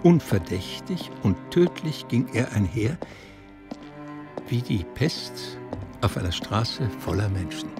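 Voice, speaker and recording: A man's voice: on the low side, sing-song, slow, over music. A man narrating a literary radio play from a script.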